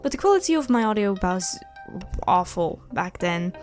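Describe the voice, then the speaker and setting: A woman with an enthusiastic, expressive way of talking, casually answering questions as the host of her own channel. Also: mid-range voice, moderate pace. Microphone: close USB microphone. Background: background music.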